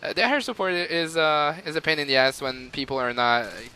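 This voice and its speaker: A young man with a light Quebec French accent talks over his own gameplay. His voice is bright and forward, and he rambles with fillers and chuckles. There is a faint hiss under it. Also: fairly high pitch, moderate pace, poor audio. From Montreal, Canada. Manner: rambling and chatty, with frequent fillers and laughs. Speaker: gamer. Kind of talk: gameplay commentary.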